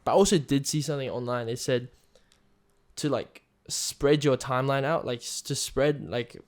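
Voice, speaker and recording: A young man co-hosting a podcast, chatting casually, relaxed and curious, with lots of "like"s. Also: mid-range voice, normal speed. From Australia. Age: young adult. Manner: asks questions, relaxed, heavy use of "like". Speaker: podcast co-host. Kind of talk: casual chat.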